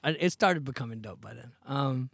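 A relaxed man in his thirties tells his story in loose, slangy chat, his voice sitting at an ordinary middle pitch. The sound is close and dry, with hardly any room around it. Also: fairly quick, gravelly, slightly rough, dry recording. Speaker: podcast guest, singer. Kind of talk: casual interview chat.

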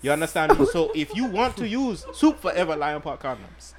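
A man's voice: on the high side, big pitch swings, a little fast, a crowd in the background. A man putting on a pompous, mock-formal West African salesman voice for a joke condom ad on a podcast. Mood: salesman pitch. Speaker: podcast co-host.

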